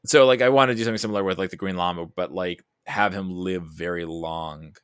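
A man chatting casually as a podcast guest, rambling along with animated, emphatic asides. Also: sits low, a little fast, smooth, no echo, studio quality.

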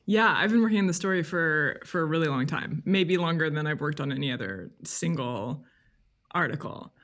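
A woman's voice: low-pitched, animated, moderate pace, fairly bright tone. A woman speaking as an interview guest, a journalist talking candidly and thoughtfully in a casual, slightly hesitant way. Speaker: guest, freelance magazine journalist.

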